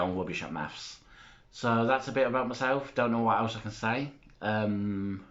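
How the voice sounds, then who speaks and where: Low-voiced man chatting casually. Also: lo-fi sound. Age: 30s. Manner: rambling, self-deprecating, chatty with many fillers. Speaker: YouTuber. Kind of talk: casual vlog chat.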